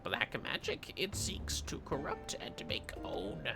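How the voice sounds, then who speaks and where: A man voicing a small, eerie beastfolk mage in a fantasy game, halting and singsong with backwards grammar. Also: fairly high pitch, very animated, creaky, music in the background, voice pushed up, heavily acted. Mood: eerie, knowing. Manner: halting, inverted syntax, singsong.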